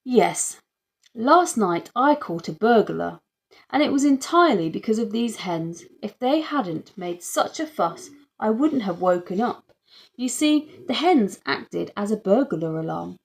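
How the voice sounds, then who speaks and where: A woman's voice: mid-range voice, very animated, unhurried, vocal fry, rough recording. A woman voicing a pompous, formal male neighbour who captains the neighbourhood watch, in a children's audiobook. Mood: grateful, eager.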